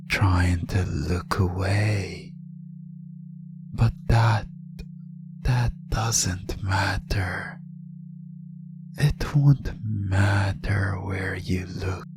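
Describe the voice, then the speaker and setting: A man delivers hypnotic ASMR narration in a low voice. His pace is extremely slow, hushed and breathy, lingering on phrases. Music plays behind him.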